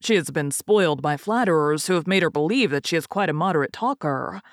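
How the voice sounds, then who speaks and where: A woman's voice: deep voice, expressive, brisk, no echo, studio quality. A woman voicing a proud young storybook prince, formal and courtly in his speech but hungry and impatient. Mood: grumbling.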